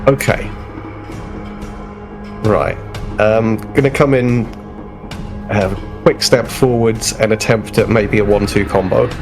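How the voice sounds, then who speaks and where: A man's voice: fairly low pitch, steady tone, slow, long pauses, over music. A man playing a tabletop roleplaying game, casually describing what his character does.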